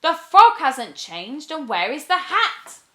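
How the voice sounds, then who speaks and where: A woman's voice: high voice, very animated, slow, sharp tone, echoey room, pitched above the performer's own voice, exaggerated voice. A woman voicing a hot-tempered, mischievous young witch in a storybook, exclaiming and chanting rhymes dramatically. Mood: dismayed.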